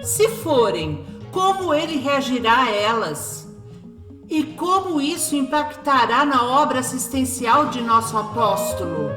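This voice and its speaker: Dramatic woman narrator, reverberant, over loud music. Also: high-pitched, sing-song, unhurried. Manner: dramatic, suspenseful questioning. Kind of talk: scripted radio drama narration. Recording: echoing hall reverb under loud music.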